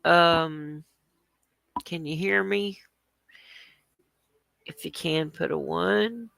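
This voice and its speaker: A woman chatting on her livestream, her voice stuffy from congestion and heavily gravelly. The tone is bright and forceful, and the sound is close and dry, with no room in it. She rambles in a loose, friendly way and greets viewers as she goes. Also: fairly low pitch, drawn-out words, no room sound. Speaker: livestream host. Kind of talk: casual livestream chat.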